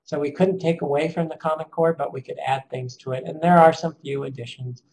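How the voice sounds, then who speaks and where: A man with a raspy, strained voice presenting an education webinar over a call, pausing often with ums. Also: fairly high pitch, steady tone, a little mellow, low-quality recording. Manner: hesitant, many ums, measured.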